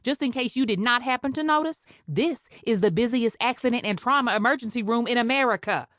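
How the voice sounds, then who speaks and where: A woman's voice: a little high, brisk, a bit bright, dry recording, studio quality, voice pushed up, lightly acted. A woman voicing a brash, bossy Creole head nurse who scolds and teases in a drawl. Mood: mocking.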